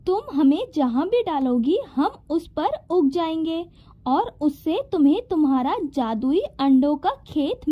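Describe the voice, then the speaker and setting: A woman voicing a helpful, reassuring talking magical egg in an animated fairy tale, earnest and persuasive. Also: high-pitched, dull audio.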